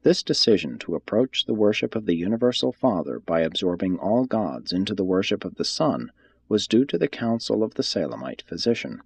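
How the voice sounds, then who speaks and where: A man reading a religious text aloud as scripted narration, in a measured, formal manner. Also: fairly low pitch, vocal fry, a little mellow, slightly rough, no echo. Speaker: audiobook reader.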